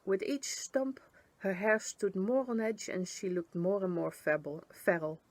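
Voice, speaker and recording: Casting: female story reader, middle-pitched, expressive first-person narration, very dry close recording. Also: unhurried, no room sound.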